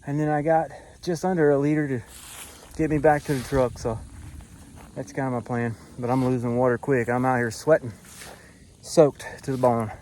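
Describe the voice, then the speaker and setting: A man from Florida, vlogging casually while hiking, out of breath and a little hoarse, recorded on a handheld camera outdoors. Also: medium pitch, fairly even intonation, normal speed, mellow, a little hiss or hum. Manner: breathing hard, winded while hiking uphill. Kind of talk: casual vlog narration to camera. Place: outdoors on a forest hiking trail.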